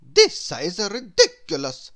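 A woman's voice: medium pitch, wildly swooping pitch, takes it slowly, fairly bright tone, heavily acted. A woman voicing a small, hapless, bouncy male ball creature in a children's storybook, exclamatory and dramatic. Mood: fed up, resolved.

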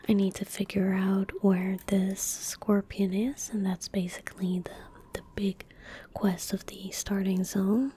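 A woman in her twenties giving gentle ASMR game commentary in a soft, breathy whisper, close to a desktop microphone. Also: mid-range voice, fairly even intonation. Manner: gentle, unhurried, murmuring. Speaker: streamer playing a video game. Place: small indoor room at a desk. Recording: close-miked with faint background noise. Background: faint game ambience.

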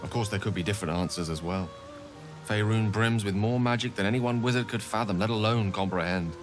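An actor voicing an eloquent, theatrical human wizard in a video game, speaking in articulate, wordy, confessional dialogue. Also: male voice, lowish voice, fairly quick, music in the background, close to an everyday voice. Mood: reflective, earnest.

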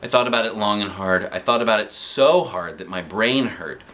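A man in his thirties reading a children's book aloud from his living room sofa, expressive and animated, with casual asides. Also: lowish voice, normal speed.

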